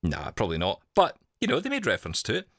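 A man's voice: lowish voice, huge pitch swings, fast talker, fairly bright tone, dry recording, clean studio sound. A man hosting a podcast in casual, bantering chat, with a jokey, self-deprecating manner. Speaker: podcast host, broadcaster.

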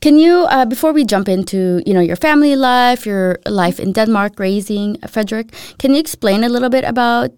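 A woman hosts a relaxed interview, backing her guest with frequent affirmations and open questions. Her voice is smooth and clear, recorded close and dry with no room sound. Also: a little high, a little fast, few pauses, no echo. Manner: warmly encouraging, frequent affirmations. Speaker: podcast host. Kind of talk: casual interview conversation.

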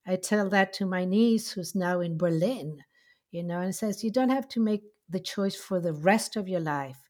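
A middle-aged woman with an Argentine Spanish accent, telling her life story in a slow, heartfelt way with many pauses. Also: fairly low pitch, a little mellow. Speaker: interviewee. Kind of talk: reflective personal storytelling.

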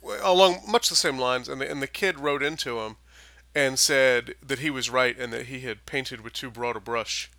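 Gravelly, bright-toned man with dry humor. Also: medium pitch, normal speed, dry recording. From Minnesota. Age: late 20s. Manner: wry, deadpan humor. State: slightly hoarse. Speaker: podcast co-host. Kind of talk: casual chat.

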